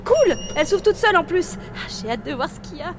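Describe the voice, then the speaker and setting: A woman playing a lively, coaxing museum visitor with a destructive streak in a comic audio drama. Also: very high-pitched, fast talker, noisy background. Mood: excited.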